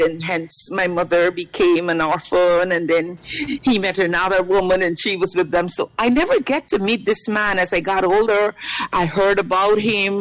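A woman's voice: fairly low pitch, poor audio. A middle-aged Guyanese woman heading a cultural delegation, answering interview questions in a rambling way full of fillers and restarts.

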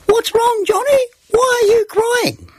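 A man voicing a doting, concerned mother in a fretful falsetto for a children's story. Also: measured pace, darkish tone, no room sound, clean studio sound.

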